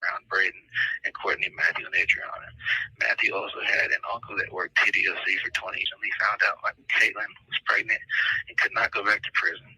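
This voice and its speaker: Harsh, raspy man on jail phone. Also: deep voice, flat delivery, fast talker, pushed and bright, low-quality recording. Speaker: jail inmate giving a statement by phone. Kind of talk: prepared personal statement. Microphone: telephone.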